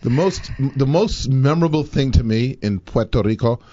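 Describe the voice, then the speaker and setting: A Bahamian man hosting a live talk show, chatting in an animated, rambling way and laughing easily. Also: mid-range voice, moderate pace, lo-fi sound, a little hiss or hum.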